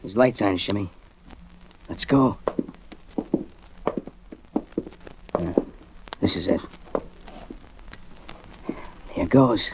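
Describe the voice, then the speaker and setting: A man's voice: fairly low pitch, unhurried. An actor playing a brash, eager street-gang boy in a 1940s radio drama, talking in streetwise slang. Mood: hushed, tense. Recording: muffled, noisy 1940s radio recording.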